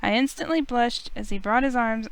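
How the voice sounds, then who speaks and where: A woman streamer reading visual novel narration aloud in an expressive storytelling style. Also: a little high, normal speed.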